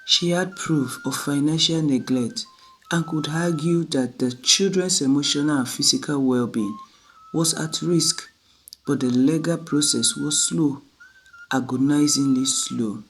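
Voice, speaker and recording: A storyteller narrating a scripted dramatic tale in measured, deliberate phrases with frequent pauses. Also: mid-range voice, a little hiss or hum, low-quality recording. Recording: music behind the voice. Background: background music.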